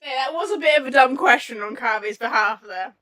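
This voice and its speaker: A woman's voice: sits high, expressive, fairly quick, sharp tone. A woman livestreamer giving casual, chatty game commentary, often repeating her phrases.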